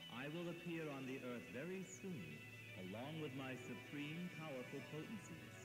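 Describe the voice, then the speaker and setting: An actor playing an all-knowing, reassuring supreme god in a devotional audio drama, measured, majestic and formal. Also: male voice, medium pitch, close to an everyday voice. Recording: long cavernous reverb.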